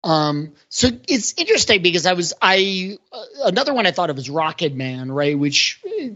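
A man's voice: high-pitched, sing-song, moderate pace, bright, forceful tone. A man co-hosting a podcast, talking casually and analytically about pop culture. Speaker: podcast co-host. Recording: slightly dull lo-fi audio.

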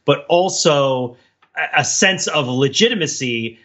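A man's voice: on the high side, expressive, a little slow, fairly bright tone. A man co-hosting a casual analytical podcast, explaining things at length in a discursive, professorial way. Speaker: podcast co-host.

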